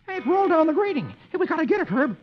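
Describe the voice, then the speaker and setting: An announcer playing a hapless, panicky husband in a 1940s radio comic reading, yelping in a high voice. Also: male voice, very animated, very fast. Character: frantic, hapless bumbling husband, adult man. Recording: dull old radio broadcast with music.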